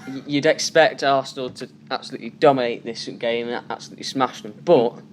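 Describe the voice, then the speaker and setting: A man of middle pitch co-hosting a sports chat rattles off emphatic opinions at a fast clip. Faint noise hums behind him. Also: fairly even intonation. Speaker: co-host.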